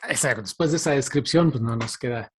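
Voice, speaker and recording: A man's voice: medium pitch, fairly quick, a little hiss or hum. A man giving an informal presentation, hesitant and conversational, often checking for agreement. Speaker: guest lecturer. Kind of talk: informal presentation on radio drama.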